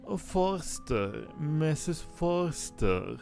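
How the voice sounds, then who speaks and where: A man voicing a haughty, sinister, formal woman from a spooky game, speaking in a slow, drawn-out, aloof way. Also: a little high, big pitch swings, gravelly, darkish tone, faint music behind, voice pushed up, full character voice. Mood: aloof, disdainful. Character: haughty, sinister, formally dressed woman out walking her dog.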